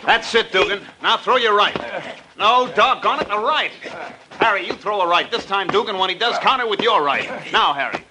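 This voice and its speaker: A commanding boxing trainer acted by a man in vintage radio drama. He barks urgent instructions in a high raised voice, over clearly noisy old audio. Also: normal speed, lightly acted. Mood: urgent, exasperated. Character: barking male boxing trainer running a sparring session. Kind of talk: 1950s radio drama acting.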